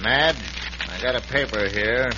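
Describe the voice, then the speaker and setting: An actor playing a smooth-talking, ruthless American lawyer villain in an old radio western. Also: male voice, mid-range voice, a little slow, lightly acted. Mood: coolly threatening. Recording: very noisy, muffled old radio recording.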